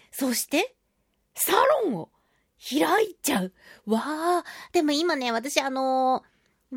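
A woman hosting a solo radio talk show, chatting and reading out listener mail with animated, playful reactions. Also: sits high, no echo, clean studio sound. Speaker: radio show host, voice actress.

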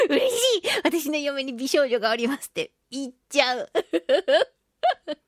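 A woman's voice: high-pitched, sing-song, takes it slowly, no room sound, clean studio sound. A woman hosting a radio talk show, chatting casually in a playful, cutesy, effusive way. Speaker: radio show host, voice actress.

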